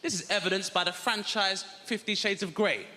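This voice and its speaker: A man doing a stand-up routine on a theatre stage, sardonic with deadpan asides to the crowd. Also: sits high, a little fast. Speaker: stand-up comedian. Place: large theatre stage with audience. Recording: live theatre with long reverb and noise.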